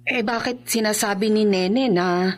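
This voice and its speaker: A woman's voice: mid-range voice, a little slow, faint music behind, close to an everyday voice. A woman playing an anxious, caring mother in a horror radio drama, earnest and questioning.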